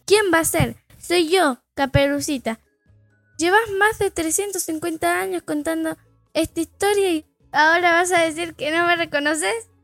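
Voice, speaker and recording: Rebellious little fairy-tale girl, very high voice, fed up, bossy, sassy, comic audio story, faint music behind. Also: moderate pace, fairly bright tone, no echo. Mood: impatient. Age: young girl figure. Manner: sassy, impatient, interrupting. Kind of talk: comedic audio fiction acting.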